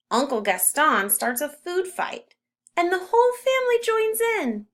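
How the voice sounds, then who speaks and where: A woman's voice: a little high, vocal fry, a bit bright. Woman reading a children's storybook aloud. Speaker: storybook reader.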